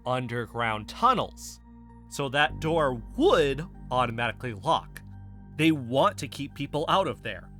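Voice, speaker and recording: An impassioned, bright-voiced conspiracy theorist. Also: male voice, mid-range voice, big pitch swings, unhurried, soft background music. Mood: fervent, urgent. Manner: emphatic, insistent, building speeches. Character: impassioned male conspiracy theorist, defensive and stubborn. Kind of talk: scripted audio fiction dialogue.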